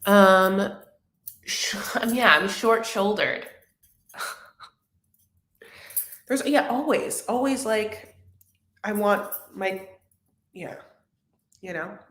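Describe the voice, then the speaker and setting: A woman's voice: mid-range voice, fairly bright tone. A woman hosting a casual live stream about reality TV, chatting in a rambling way with many fillers and restarts. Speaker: reality TV recap livestream host. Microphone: webcam or phone on a live stream. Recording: echoey room.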